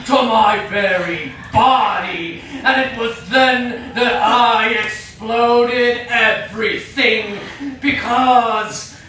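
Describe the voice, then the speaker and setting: A woman's voice: fairly high pitch, fairly bright tone. A woman playing an insistent, tormented figure, declaiming emphatically in an avant-garde theatrical style. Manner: measured, emphatic, theatrical delivery. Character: insistent, tormented declaimer railing against suffocation. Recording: very echoey stage, far off, noisy.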